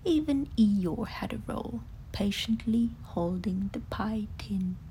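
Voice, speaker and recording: A woman narrating a children's bedtime story in an expressive, breathy way. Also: mid-range voice, takes it slowly, a little hiss or hum.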